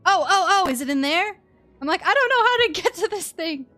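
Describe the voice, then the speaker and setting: A young woman live-streaming a game, chatting casually with an expressive, playful manner. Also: very high voice, fairly quick, faint music behind. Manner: expressive, playful, exclaims and chants little words.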